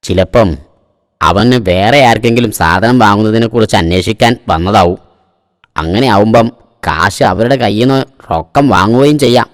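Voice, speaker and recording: A man's voice: on the low side, normal speed, close to an everyday voice. A man voicing a sly, grumbling shop owner in a cartoon, with long echo on the recording. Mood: scheming. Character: grumbling, sly adult male shop owner, evasive boss. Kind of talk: cartoon voice acting.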